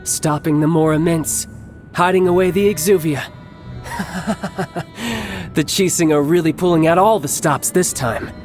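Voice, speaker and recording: A man's voice: on the high side, unhurried, pauses often, lightly acted. An actor playing a cocky young male villain in a video game, smug, taunting and theatrical, eager for battle. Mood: smug, scheming. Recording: faint music behind the voice.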